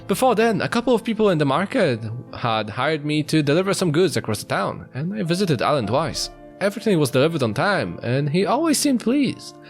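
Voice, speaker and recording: A man's voice: on the high side, sing-song, brisk. A man hosting a playful read-aloud of a visual novel, narrating it and dropping in joking asides. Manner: playful, jokey, breaks into laughter. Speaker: host of a let's-play, reading the narration. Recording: music behind the voice. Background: background music.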